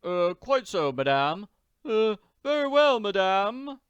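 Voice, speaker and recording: A man's voice: high-pitched, very animated, slow pace, a bit bright, clear, resonant tone, no echo, highs cut off, pitched above the performer's own voice, full character voice. A man voicing a deferential, reluctant household servant from a comic strip, hesitant and formal. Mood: obedient.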